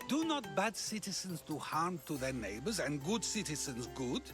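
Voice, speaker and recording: A probing old Greek philosopher. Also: male voice, on the high side, big pitch swings, normal speed. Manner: questioning, contemplative. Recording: studio voice with game music.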